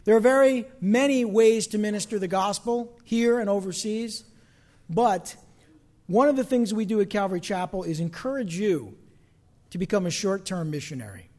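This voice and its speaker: A man's voice: high voice, normal speed, darkish tone. A man preaching to his congregation as pastor, earnest and exhorting. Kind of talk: sermon.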